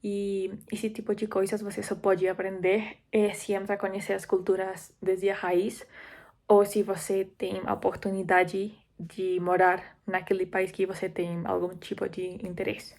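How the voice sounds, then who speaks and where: Flat-toned young woman. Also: medium pitch, measured pace. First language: Spanish. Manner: steady, with many "eh" fillers. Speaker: university student. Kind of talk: class video talk.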